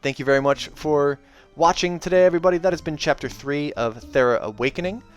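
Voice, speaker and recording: A man hosting a read-aloud, moving between expressive storybook narration and casual, chatty asides. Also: on the high side, fairly quick, clean tone. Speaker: reader and channel host. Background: soundtrack music.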